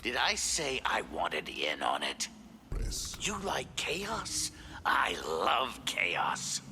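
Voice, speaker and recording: A menacing, chaos-loving killer from a video game. The gravelly voice is piercingly forceful and slowly drawn out, taunting, with faint noise behind it. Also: male voice, on the low side, wildly swooping pitch, heavily acted. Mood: taunting menace. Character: gleeful, menacing male killer who loves chaos. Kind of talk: video game villain dialogue.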